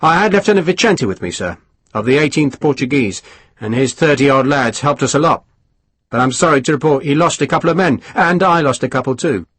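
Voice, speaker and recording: A man's voice: mid-range voice, lively intonation, a little fast, fairly bright tone, husky, no room sound. A man voicing a plain-spoken young army lieutenant who reports bluntly and respectfully. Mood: apologetic.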